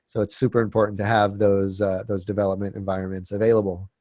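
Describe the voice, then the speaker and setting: A man's voice: fairly low pitch, level tone, quick pace, darkish tone, no room sound, clean studio sound. Congested man hosting a webinar. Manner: filler-heavy, frequent restarts and repeated words. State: congested from a cold, sniffling. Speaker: webinar presenter. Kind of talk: casual webinar presentation.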